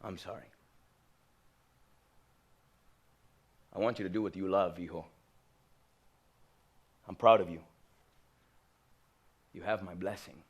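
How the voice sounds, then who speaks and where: A man voicing his aging immigrant father, speaking quietly in halting, emotional apologies. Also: lowish voice, voice pushed down, close to an everyday voice. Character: gentle aging immigrant father, soft-spoken.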